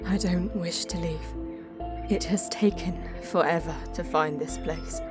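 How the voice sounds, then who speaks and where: Solemn alien mystic woman, played by an actress, low and very unhurried, guarded and reverent, audio drama with prominent music. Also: steady tone, natural voice. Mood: wistful, grave. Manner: measured, solemn, deliberate. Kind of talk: science fiction audio drama, poetic first-person narration.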